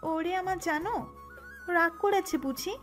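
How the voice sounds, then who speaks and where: A bossy, clingy new bride, acted by a woman for a romantic audio story. Her voice is extremely high and girlish in pitch, pouty and teasing as she scolds or coaxes. Music plays behind her. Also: unhurried, fairly bright tone. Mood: coaxing, affectionate. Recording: voice with background music.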